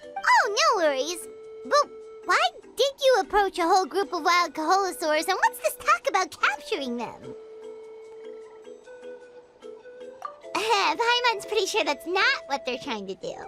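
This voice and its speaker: A woman's voice: wildly swooping pitch, normal speed, full character voice. A woman voicing a small, chirpy, childlike fairy girl companion in an anime video game, chatty and eager. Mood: puzzled, wary. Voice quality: squeaky, childlike. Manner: refers to herself in third person, exclaims brightly. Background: game music.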